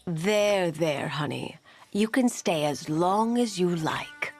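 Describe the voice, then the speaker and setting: A woman voicing a protective female plant villain in an animated video game, languid and tender with a fierce edge. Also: on the low side, takes it slowly. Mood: soothing. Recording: loud music behind the voice.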